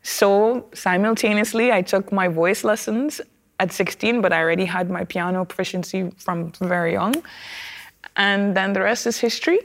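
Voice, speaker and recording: A woman from Trinidad and Tobago with a Trinidadian accent, a guest speaking thoughtfully in an interview and often pausing with fillers and restarts. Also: medium pitch. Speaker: opera singer, guest. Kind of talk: conversational interview.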